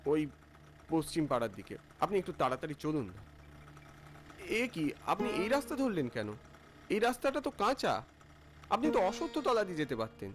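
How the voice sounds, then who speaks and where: A man's voice: on the high side, fairly quick, long pauses. A man playing an anxious, impatient saree trader who narrates his night journey in a cartoon story. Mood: impatient, fretful. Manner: fretful, expressive. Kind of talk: dramatised first-person story narration.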